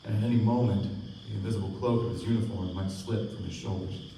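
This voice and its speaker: A man's voice: on the low side, steady tone, fast, warm tone, lightly acted. A man playing a reflective, melancholy narrator and loyal friend in a scripted live radio play. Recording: echoey distant stage recording, faint noise.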